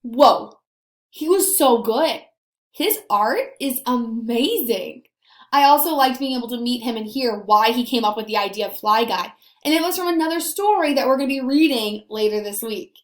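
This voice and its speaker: A woman's voice: a little high, expressive. A woman teacher leading a read-aloud lesson for young children, stressing key words and putting questions to her pupils. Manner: slow, deliberate emphasis with questions to pupils. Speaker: elementary school teacher. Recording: roomy, echoey sound.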